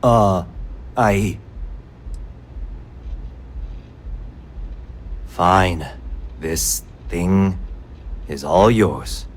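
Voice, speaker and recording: An actor playing a greedy, shady underworld seller in a video game, curt and goading. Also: male voice, sits low, drawn-out words, a little hiss or hum. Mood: grudging. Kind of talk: video game dialogue acting.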